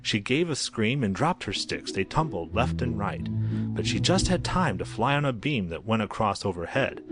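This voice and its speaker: A man's voice: fairly low pitch, sing-song, moderate pace. A man narrating a rhyming children's story as a storyteller, with a sing-song, rhythmic delivery. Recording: loud music under the voice. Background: music.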